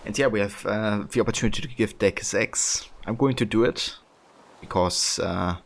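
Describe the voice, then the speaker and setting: Low-voiced streamer with a German accent. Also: male voice, moderate pace, creaky, a little hiss or hum. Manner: relaxed, rambling, many fillers. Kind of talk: casual live-stream commentary.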